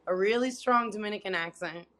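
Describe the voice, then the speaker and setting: A young woman chats as a guest on a podcast, speaking at an ordinary pitch for a woman. She sounds upbeat and emphatic and laughs often. She is a singer-songwriter from Massachusetts. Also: fairly quick, pushed and bright. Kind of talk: casual chat.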